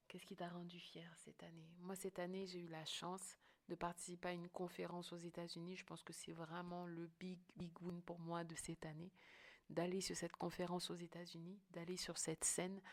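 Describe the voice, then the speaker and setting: A woman hosting a motivational coaching podcast, speaking emphatically and often checking in with the listener. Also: fairly low pitch. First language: French-English bilingual.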